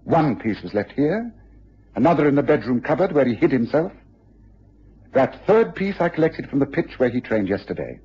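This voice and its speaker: A keen detective in a radio drama, with a very expressive, slightly rough voice. He is deliberate, precise and in command. The recording is dull, like a radio broadcast. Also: mid-range voice. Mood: assured, deductive. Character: keen, composed male English detective, probing and authoritative.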